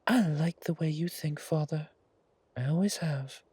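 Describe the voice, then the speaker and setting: Man voicing a breathy, higher-pitched young predator son. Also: a little slow, darkish tone, dry recording. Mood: eager. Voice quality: breathy, often half-whispered. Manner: lighter, teasing, polite. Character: young male predatory creature, vampire's devoted teasing son. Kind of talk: horror roleplay audio drama.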